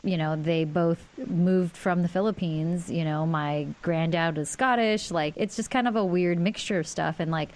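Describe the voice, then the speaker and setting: A woman's voice: fairly low pitch, a little mellow. A woman from California, co-hosting a podcast, speaking in a playful, joking way. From California, USA. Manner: playful, joking, quick laughs. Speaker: podcast co-host. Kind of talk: casual chat.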